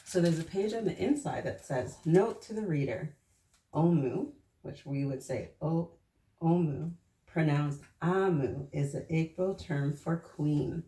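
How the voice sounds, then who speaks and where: A woman hosting children's story time, reading aloud and talking to young listeners in an expressive, encouraging way. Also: low voice, a little slow. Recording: echoey room, distant mic, faint noise.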